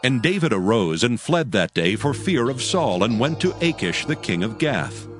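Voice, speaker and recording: A man's voice: medium pitch, very animated, normal speed. A man giving a solemn, scripted dramatic narration of scripture in a storytelling manner. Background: music.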